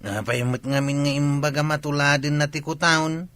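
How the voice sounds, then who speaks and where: An actor plays a village prankster, the excuse-making sidekick of a radio comedy. His voice is forceful and rather high for a man, recorded close and dry, with an Ilocano accent. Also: fairly even intonation, normal speed, dry recording, close to an everyday voice. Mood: puzzled.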